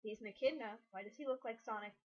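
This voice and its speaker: A woman's voice: a little high, racing, darkish tone, husky. A woman giving casual guest commentary, blunt and critical, explaining her objections as she goes. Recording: dull lo-fi home recording.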